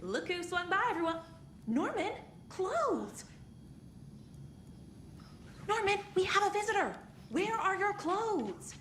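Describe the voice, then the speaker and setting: A woman's voice: very high-pitched, very animated, slow pace, long pauses, fairly bright tone, a little hiss or hum, heavily acted. Echoey, wry woman care worker. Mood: coaxing, exasperated. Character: chatty, long-suffering care home worker, indulgent with elderly residents. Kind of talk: video game cutscene acting. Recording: echoey room with faint ambience.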